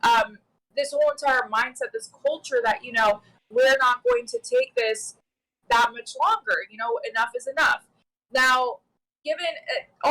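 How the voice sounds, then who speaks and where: A woman's voice: a little high, bright, forceful tone, low-quality recording. A young woman raised in the United States, talking casually and with animation about politics on a stream, often adding "you know" and "right?". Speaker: guest commentator.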